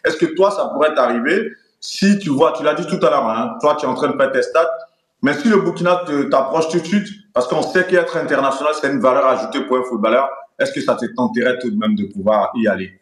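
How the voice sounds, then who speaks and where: A man's voice: medium pitch, a little fast. A male interviewer speaks in a medium register, recorded with strong room echo. He runs a conversational sports interview and builds up to his questions in long, wordy stretches. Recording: echoey, reverberant room sound.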